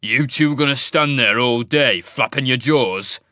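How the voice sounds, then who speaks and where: A man voicing a fire-scarred, surly male warrior with a rasping growl, in an audiobook reading. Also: medium pitch, sharp tone, no room sound, clean studio sound. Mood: snarling. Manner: slow, snarling, coarse. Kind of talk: audiobook character voice.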